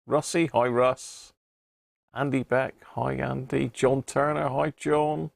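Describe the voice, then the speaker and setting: A man hosting a casual live stream about radio repairs, croaky today, chatting and greeting viewers by name. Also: medium pitch, steady tone, slow, no room sound, clean studio sound. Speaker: radio repair livestream host.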